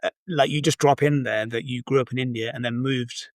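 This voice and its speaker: A man's voice: mid-range voice, steady tone, brisk, no room sound, clean studio sound. A man hosting an interview in a casual, discursive conversational style. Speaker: podcast interviewer.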